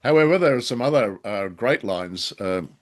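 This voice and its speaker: A man co-hosting an unscripted discussion, speaking in hesitant, halting phrases with a polite, wryly self-deprecating manner. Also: mid-range voice, animated. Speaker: podcast co-host. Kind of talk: unscripted discussion of old-time radio drama.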